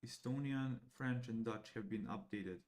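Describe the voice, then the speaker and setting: Young man, native serbian speaker, live coding walkthrough, halting, thinking aloud, mid pitch. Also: a little mellow. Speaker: AI educator.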